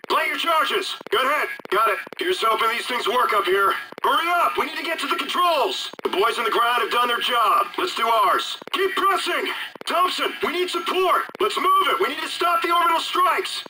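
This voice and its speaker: High, noisy radio squad leader. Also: male voice. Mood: focused. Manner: clipped, commanding. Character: urgent military astronaut squad leader giving orders. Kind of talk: military shooter radio chatter. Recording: loud noisy radio transmission.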